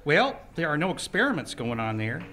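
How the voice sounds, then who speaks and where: Casting: man as a flustered young suitor, bright high voice, lively. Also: a little fast, faint music behind, full character voice. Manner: flustered, eager. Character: nervous lovestruck young man.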